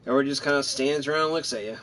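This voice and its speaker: A man's voice: mid-range voice, rapid-fire, fairly bright tone, a little hiss or hum. A man giving casual, rambling commentary on his own video game playthrough, thinking aloud as he goes. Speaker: gamer narrating own playthrough.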